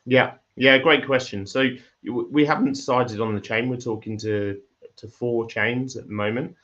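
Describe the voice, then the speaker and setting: A croaky man explains his trading platform over a remote call, stumbling and restarting as he goes. His voice sits at an ordinary pitch, and the audio is dull and muffled. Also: fairly even intonation, a bit bright. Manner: halting, repeats words and restarts often. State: croaky voice from illness. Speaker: crypto project founder. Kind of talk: livestream interview.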